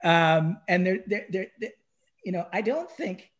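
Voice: medium pitch, very animated, a little slow. A podcast speaker in casual discussion of music history, stammering, repeating words and often checking with "right?". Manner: frequently tags phrases with "right?".

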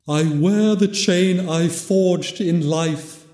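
A man's voice: high voice, extremely slow, darkish tone, pitched above the performer's own voice. A man voicing the tormented, mournful ghost of a dead miser in a storybook reading, solemn, wailing and drawn out. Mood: mournful, lamenting. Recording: very echoey, long reverb.

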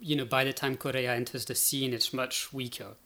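Man, mid-pitched voice, academic guest, conversational explaining, thinking aloud. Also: little pitch movement, brisk, bright, forceful tone. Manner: hesitant, thinking aloud, checking with "right?". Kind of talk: conversational explanation in a podcast interview.

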